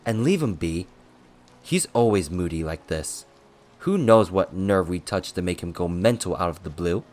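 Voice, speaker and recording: A man's voice: low voice, expressive, moderate pace, darkish tone. A man voicing a blunt, hot-tempered male attendant who scolds and complains brashly in a storybook reading. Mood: exasperated.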